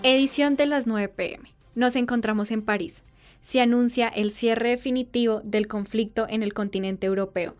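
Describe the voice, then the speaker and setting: A woman playing a solemn wartime radio news reporter, reading a formal, measured scripted bulletin. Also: medium pitch, darkish tone, smooth, lightly acted. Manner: formal, measured announcer delivery. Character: solemn female radio news reporter announcing war's end from Paris.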